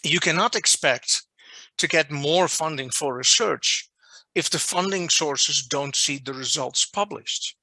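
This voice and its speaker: A man with a Dutch accent, a non-native English speaker, giving an online lecture as a journal editor and restating his points deliberately over a video call. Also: a little high, bright, forceful tone, dry recording, poor audio. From Netherlands. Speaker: journal editor presenting a workshop. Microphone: video call microphone.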